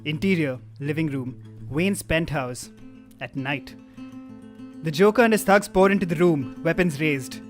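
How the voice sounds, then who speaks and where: Male narrator, slightly high voice, scripted stage directions, clear guitar music underneath. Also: measured pace.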